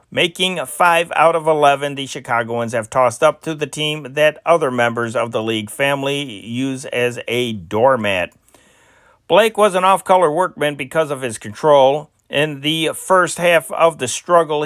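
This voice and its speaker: A man's voice: on the high side, a bit bright, no echo, clean studio sound. A man reading old newspaper sports stories aloud as an amateur host, with a sing-song delivery that rises and falls sharply, and small slips and restarts. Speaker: newspaper reader, channel host.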